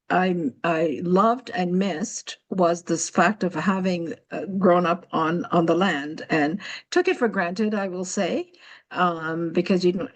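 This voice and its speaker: A woman who grew up in Jamaica, chatting casually on a video call and telling a personal story with frequent fillers. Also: fairly low pitch. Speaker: participant asking a question. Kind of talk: casual online meeting chat.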